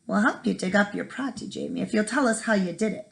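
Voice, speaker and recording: A woman voicing excitable, fretful villagers in a children's storybook, questioning and complaining. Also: medium pitch, quick pace, creaky. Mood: eager.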